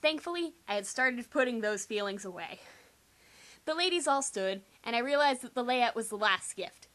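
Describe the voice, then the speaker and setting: Young female reader, high bright voice, sarcastic and theatrical delivery of a teen novel. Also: lively intonation, moderate pace. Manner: exaggerated, sarcastic, theatrical emphasis. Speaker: amateur reader. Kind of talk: dramatic reading of a first-person teen novel.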